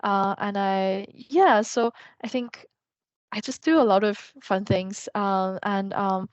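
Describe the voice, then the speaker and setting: A woman's voice: medium pitch, takes it slowly, dry recording, muffled recording. Chatty young woman. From China. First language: Mandarin. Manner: rambling, heavy use of "like" and "um". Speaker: guest. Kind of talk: casual podcast interview.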